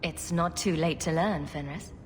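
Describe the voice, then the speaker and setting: A woman's voice: low voice, normal speed, noisy background, natural voice. An actress playing a female human hero, an apostate mage refugee, speaking in an earnest, direct way. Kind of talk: video game voice acting. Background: game music score.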